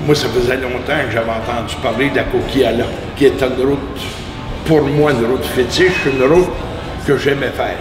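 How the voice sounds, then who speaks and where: A man with a Quebec French accent recalls his travels in a casual, personal way. His mid-pitched voice is picked up from some distance with a long echo. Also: steady tone, normal speed. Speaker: trip participant. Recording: echoey, distant mic, music behind.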